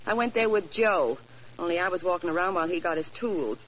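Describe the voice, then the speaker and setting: A woman's voice: lowish voice, lively intonation, brisk, a bit bright. An actress playing a brash, scheming young woman with a bold, coaxing manner in a 1940s radio drama. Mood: brazen. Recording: noisy vintage 1940s radio recording.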